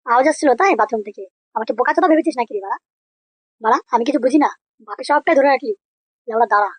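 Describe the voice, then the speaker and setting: Angry cartoon neighbour man, male performer, pitched up, threatening, dry sound. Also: lively intonation, very fast, a bit bright, no room sound, exaggerated voice. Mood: furious. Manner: scolding, threatening, crude. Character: angry, foul-mouthed neighbourhood man protecting his daughter. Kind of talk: comedy cartoon voice acting.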